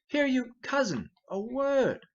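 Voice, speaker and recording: A man playing a measured, scheming older noble uncle in a formal, deliberate Shakespeare reading. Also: very high-pitched, sing-song, dull audio, a little hiss or hum, voice pushed up, heavily acted. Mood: exasperated. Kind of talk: dramatic reading of a Shakespeare play.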